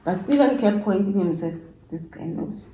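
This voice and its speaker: A woman's voice: mid-range voice, lively intonation, moderate pace, warm tone, slight background noise. A middle-aged woman with a South African accent tells a story casually, acting out bits of dialogue and repeating phrases for emphasis. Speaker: YouTuber's mother telling a story as guest. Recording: roomy, echoing indoor sound.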